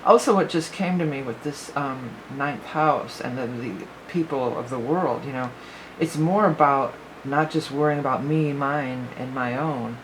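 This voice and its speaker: A woman's voice: very deep voice, unhurried, few pauses, background noise. A woman giving a casual spoken astrology forecast as a psychic reader, rambling and thinking aloud with many fillers, her voice a little worn.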